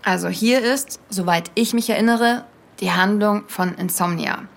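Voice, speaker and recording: An actress playing an earnest young podcaster, speaking in a casual, slightly hesitant storytelling style. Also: female voice, mid-range voice, normal speed, vocal fry, background noise, lightly acted. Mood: casual, confiding. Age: young adult. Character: earnest young female podcaster haunted by a childhood memory. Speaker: podcast host and narrator. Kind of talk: conversational first-person audio drama storytelling.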